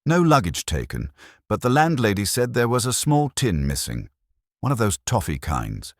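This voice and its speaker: A man's voice: on the low side, huge pitch swings, moderate pace, no room sound, clean studio sound, pitched above the performer's own voice. A man voicing a helpful male police sergeant in a detective audiobook, with deferential, clipped reporting. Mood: dutiful, matter-of-fact. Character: keen, helpful male police sergeant, dutiful and plain-spoken. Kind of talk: audiobook detective story dialogue.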